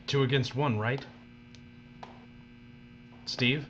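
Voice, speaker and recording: Male actor as protective husband, audio drama: anguished, defiant, slow and strained, muffled with clear hum. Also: mid-range voice, fairly even intonation, a bit bright.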